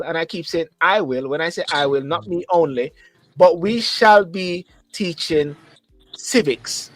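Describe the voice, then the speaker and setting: A man's voice: a little high, a bit bright, no room sound, poor audio. A Jamaican man hosting a live call-in talk show, who speaks emphatically and repeats phrases for stress. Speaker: host.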